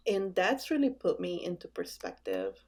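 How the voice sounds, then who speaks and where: A woman brand designer answering interview questions casually, pausing often with "um" as she thinks. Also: fairly low pitch, wildly swooping pitch, darkish tone, slightly rough. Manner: hesitant, with many "um" pauses.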